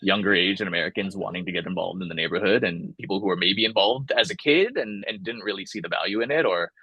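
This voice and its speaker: A man's voice: low voice, fast, breathy edge, dry recording, dull audio. A young man from California, talking casually and thoughtfully in an oral history interview, with many fillers and restarts.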